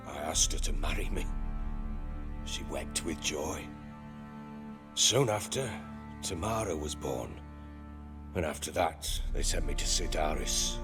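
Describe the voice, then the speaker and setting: Gravelly, bitter old-soldier baron. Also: male voice, low-pitched. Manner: coarse, blustering, profane storytelling. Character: gruff, bitter, hot-tempered middle-aged soldier baron. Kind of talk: dramatic video game acting.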